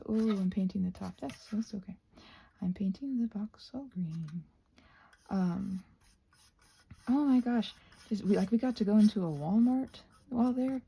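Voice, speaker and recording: Mellow, creaky North American woman rambling. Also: mid-range voice. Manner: scatterbrained, thinking aloud, often trailing into murmurs. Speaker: craft and ASMR vlogger. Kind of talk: casual rambling chat while crafting.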